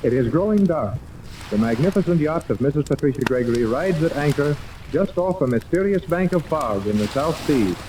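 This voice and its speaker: A man's voice: fairly high pitch, lively intonation, fairly quick. Old timey serial announcer guy, dramatic scripted read, far from mic with heavy hiss. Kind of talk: dramatic scripted radio narration. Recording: distant, noisy 1930s radio recording.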